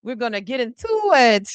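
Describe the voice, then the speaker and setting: A woman hosts a casual political livestream, reacting to her chat with jokes, laughter and plenty of swearing. Her voice sits somewhat high. It is recorded close and dry. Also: a bit bright, dry recording. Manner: chatty, laughing, profane, teasing the chat. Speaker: podcast host.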